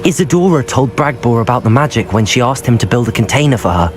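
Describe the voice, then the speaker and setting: An actor playing an earnest, determined young wizard student in a video game, with a serious, measured way of speaking. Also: male voice, medium pitch, expressive, close to an everyday voice. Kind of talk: dramatic video game voice acting. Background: game music.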